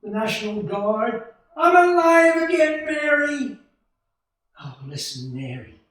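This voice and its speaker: A woman plays an elated, loving family man in a radio play, full of grateful exclamations. The voice sits fairly high and takes its time. It is heard far from the mic in a heavily echoing space. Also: sing-song, takes it slowly, a bit bright, exaggerated voice. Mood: overwhelmed relief. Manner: exclamatory, effusive, breathless repetitions. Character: elated, loving husband and father, newly grateful for life. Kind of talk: live radio play acting. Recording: echoey hall, distant mic.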